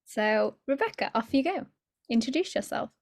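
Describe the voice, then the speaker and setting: A woman's voice: on the high side, expressive, normal speed, gravelly. A woman hosting an online group on a video call, upbeat and effusive as she invites people to introduce themselves.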